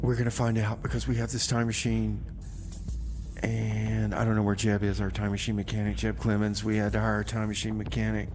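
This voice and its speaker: A man's voice: on the low side, monotone, brisk, noisy background. A man hosting a community radio show with casual, comic, rambling banter and folksy song announcements.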